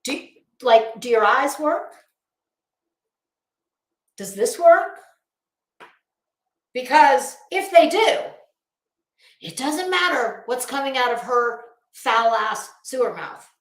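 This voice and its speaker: A woman's voice: high-pitched, big pitch swings, slow, long pauses, roomy sound. A woman delivering an opinionated online commentary rant, blunt, scolding, emphatic and sweary. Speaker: online commentary video host.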